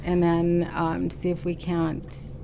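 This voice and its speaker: Low, gravelly-voiced woman telling her story. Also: monotone, mellow, a little hiss or hum. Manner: halting, earnest storytelling with many fillers; stubborn, determined. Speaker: podcast guest, boutique owner. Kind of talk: casual conversational interview.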